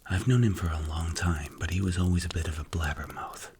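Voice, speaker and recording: A man acts out a strict mafia boss in an ASMR roleplay, with a low, commanding voice. He speaks in clipped, deliberate phrases with cool authority and tight control. Faint noise sits behind the voice. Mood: firm, commanding.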